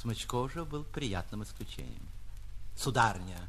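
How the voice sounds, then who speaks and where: A man, an actor reading a literary short story in an expressive, mock-grandiose theatrical style. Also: mid-range voice, moderate pace, a little hiss or hum. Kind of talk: theatrical literary audiobook narration for radio.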